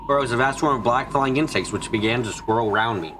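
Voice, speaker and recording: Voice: medium pitch, fast talker, background noise. A streamer narrating a visual novel as a live fan dub, in a dramatic storytelling manner. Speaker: streamer reading the story and all parts.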